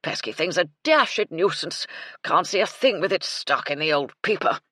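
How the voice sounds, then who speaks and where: Woman putting on a tipsy old colonel for an audiobook: loud, clipped, swooping, husky. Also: lowish voice, expressive, normal speed, dry recording, clean studio sound. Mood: blustering. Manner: bluff, clipped, hearty old-fashioned slang. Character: blustering old male English colonel, tipsy. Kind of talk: audiobook character voice.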